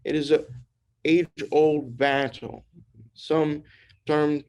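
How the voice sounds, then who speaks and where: A man's voice: on the high side, fairly even intonation, a little mellow, rough recording. A man channeling a solemn ancient spirit. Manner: slow, measured, formal pronouncements. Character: ancient spirit presence, genderless, solemn, speaking to earthlings. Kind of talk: trance channeling.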